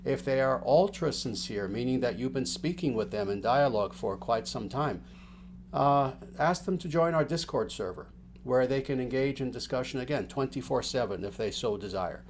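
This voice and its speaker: A man, a spiritual teacher, giving unhurried livestream announcements to his followers in a gentle, rambling way full of fillers and restarts. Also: medium pitch, expressive, a little hiss or hum.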